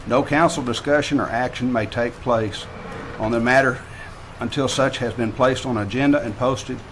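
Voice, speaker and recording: A man runs a public council meeting at an ordinary mid pitch. He works through procedure formally, heard over clear room noise on the meeting microphone. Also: fairly even intonation, normal speed, a bit bright. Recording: noisy council chamber microphone.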